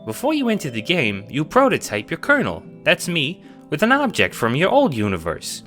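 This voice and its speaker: A man voicing an eager, nervous, childlike cat sprite in an audio drama, hesitant and excitable. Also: a little high, brisk, faint music behind, voice pushed up. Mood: eager, proud.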